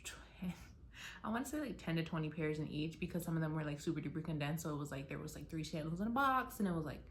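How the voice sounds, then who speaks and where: A woman's voice: low-pitched, animated, fast, a little hiss or hum. A young American woman vlogging from her apartment while packing to move, rambling casually with lots of "like" fillers and restarts. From United States. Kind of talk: casual rambling chat to camera.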